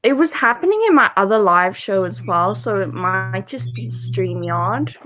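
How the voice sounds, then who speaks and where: A woman's voice: medium pitch, expressive, unhurried, fairly bright tone. A woman chatting casually as co-host of a livestream about books, on a video call. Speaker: co-host of a book readalong livestream. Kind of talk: casual chat.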